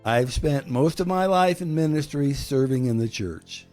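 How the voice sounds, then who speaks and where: A man, a pastor, speaking in measured phrases and pausing so his words can be interpreted. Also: on the high side, lively intonation, a little mellow, faint music behind. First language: English. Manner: slow, measured, with pauses for interpretation. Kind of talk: bilingual sermon-style talk.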